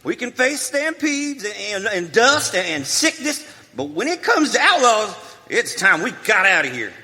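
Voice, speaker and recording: Stubborn old-west settler, folksy, argumentative, swoopy high voice, echoey hall, radio western. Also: male voice, sing-song, unhurried, sharp tone, full character voice. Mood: alarmed, stubborn. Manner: folksy, argumentative drawling grammar. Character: contrary, suspicious frontier settler man, quick to quarrel. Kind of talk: live western radio theater acting. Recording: reverberant hall.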